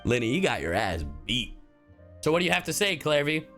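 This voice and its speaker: A casual game streamer with a very animated, swinging delivery. The talk is slangy, joking and sweary, over faint game music. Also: medium pitch, brisk. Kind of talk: casual livestream commentary.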